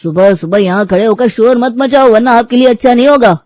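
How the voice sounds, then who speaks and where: Woman playing a cocky, high-pitched rude milkman. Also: brisk, a little mellow, dry recording, natural voice. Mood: defiant. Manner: curt, dismissive. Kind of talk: animated moral storybook acting.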